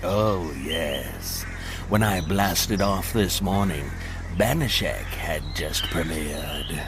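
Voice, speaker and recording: Man playing a slow, low-voiced alien visitor. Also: noisy background. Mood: curious. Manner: formal, deliberate speech. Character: tall, stately male alien visitor, literal-minded and unhurried. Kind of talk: comedic audio drama acting.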